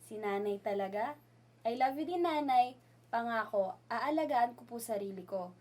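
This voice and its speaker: Woman playing an earnest young student, higher voice. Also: expressive, normal speed, a little mellow. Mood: affectionate, reassuring. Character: earnest young provincial scholarship student, affectionate daughter. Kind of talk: amateur radio drama acting.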